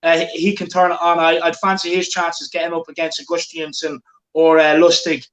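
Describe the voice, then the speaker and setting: A man's voice: fairly high pitch, little pitch movement, brisk, a bit bright, echoey room, poor audio. A man hosting a football watchalong, chatting casually and reading out viewer comments. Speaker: watchalong host.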